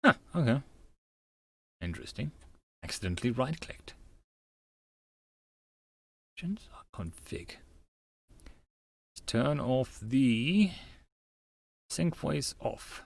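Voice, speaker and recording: A man's voice: medium pitch, lively intonation, slow, vocal fry, long pauses, slightly rough, no echo. A man giving casual, joking asides while reading through a game in a wry, offhand way. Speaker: channel narrator.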